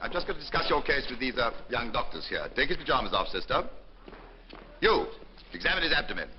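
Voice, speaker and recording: A man's voice: medium pitch, very animated, fast, a little hiss or hum, natural voice. An actor playing a pompous, bullying senior male surgeon who barks orders at junior doctors. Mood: lecturing. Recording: dull old film soundtrack with faint music.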